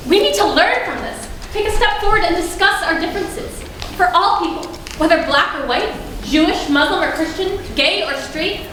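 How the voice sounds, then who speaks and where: A woman's voice: extremely high pitch, pushed and bright, exaggerated voice. A young woman playing an earnest, nervous teenage peacemaker in an amateur school play. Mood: earnest pleading. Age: teens. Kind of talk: amateur school stage acting. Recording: very echoey stage, distant mic, noisy.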